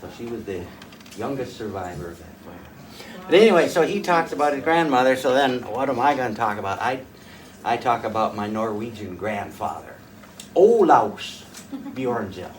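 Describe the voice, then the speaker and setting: A man's voice: medium pitch, animated, moderate pace. Older man telling family stories from afar. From rural northwest North Dakota. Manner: rambling, folksy, with frequent uh's and restarts. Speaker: folk fiddler giving a talk. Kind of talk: casual storytelling to a small audience. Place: room at a folk fiddlers' gathering. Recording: distant mic, faint room noise.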